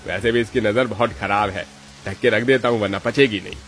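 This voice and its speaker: A man voicing a stingy, rude village grocer in a cartoon moral story, with a gruff, scornful, blunt manner. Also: fairly low pitch, fairly even intonation, brisk, a little hiss or hum, natural voice. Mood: scornful muttering. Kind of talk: Hindi animated moral story acting.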